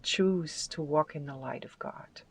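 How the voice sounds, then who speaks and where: A tarot card reader giving a spiritual reading with affirmations, in a soft, soothing and unhurried voice that sometimes drops to a whisper. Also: mid-range voice, vocal fry, breathy edge. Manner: soft, soothing, unhurried delivery with drawn-out phrases.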